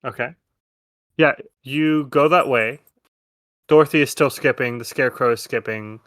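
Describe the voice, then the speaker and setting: Casual male game master, middling pitch. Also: moderate pace, a bit bright, no echo. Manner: casual, loose improvised narration. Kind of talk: narrating a tabletop roleplaying game.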